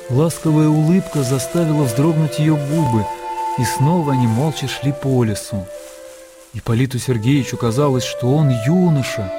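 A man narrating a classic story in a radio play, with a measured, lyrical, literary delivery. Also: a little high, close to an everyday voice. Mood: tender, reflective. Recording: music under the narration. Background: music.

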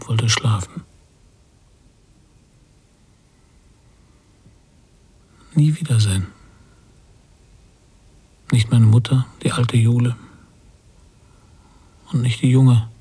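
A man's voice: medium pitch, warm tone, slightly rough. A man playing a bitter, guilt-ridden middle-aged father in a radio drama, thinking aloud in terse fragments. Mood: numb, brooding. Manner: terse, fragmented inner monologue. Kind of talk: German radio drama interior narration.

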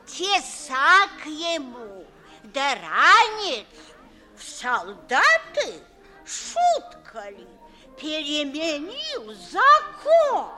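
An actress playing a querulous, half-deaf old countess grandmother in a radio play, halting and exclaiming, asking again loudly. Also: high-pitched, huge pitch swings, full character voice. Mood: agitated, querulous. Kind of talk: 1950s Russian radio stage acting. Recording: 1950s radio theatre recording, faint music.

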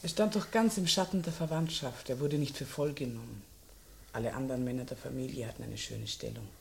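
An actress playing a composed, wistful widow, gently candid, in a radio crime drama. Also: female voice, very deep voice, brisk, vocal fry, slight background noise. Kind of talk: German radio play acting.

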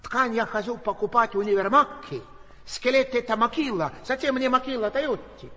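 A man's voice: extremely high pitch, normal speed, sharp tone. An actor playing a hungry man from Karelia who speaks broken Russian with a put-on Finnish accent and repeats himself insistently, on a stage recording. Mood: baffled, insistent. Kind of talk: stage comedy sketch. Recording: stage recording in a reverberant hall.

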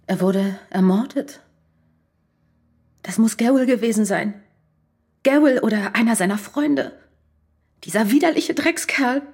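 A woman's voice: fairly high pitch, unhurried, pauses often, a little mellow, husky. A woman acting in a radio crime drama as a shaken, bitter married woman who speaks directly. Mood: shocked, bitter. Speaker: actor in a crime radio play. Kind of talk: German radio drama dialogue.

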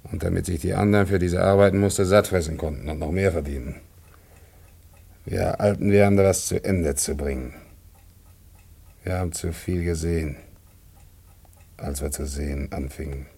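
Gravelly, low-voiced bitter old father. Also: fairly even intonation, moderate pace, pauses often, mellow, full character voice. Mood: bitter, resigned. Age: older. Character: bitter old father, lifelong communist worker, weary. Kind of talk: serious German radio drama acting.

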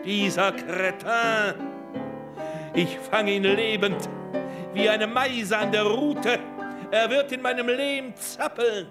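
Megalomaniac crime boss doctor, high voice, imperious and scornful, radio play with prominent music underneath. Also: male voice, fairly even intonation, slow. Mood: vengeful. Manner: commanding, contemptuous. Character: domineering, megalomaniac criminal mastermind doctor. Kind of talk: radio drama acting. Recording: music behind the voice.

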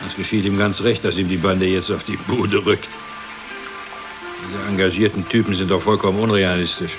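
Guy acting a smug bullying husband in a radio drama, deep grumbly condescending voice, music behind him. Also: level tone, moderate pace, lightly acted. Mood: scornful, impatient. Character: smug, bullying middle-class husband, scornful and boastful.

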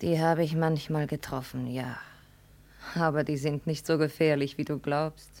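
A woman's voice: low-pitched, steady tone, normal speed, a little mellow. An actress in a 1950s German radio play playing a proud, troubled daughter ashamed of her mad father, dramatic and emotional. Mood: defensive.

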